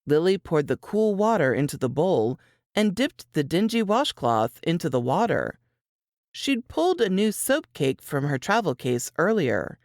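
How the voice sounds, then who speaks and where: Female fiction narrator: low pitch, unhurried, expressive and deliberate scripted reading. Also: no room sound, clean studio sound.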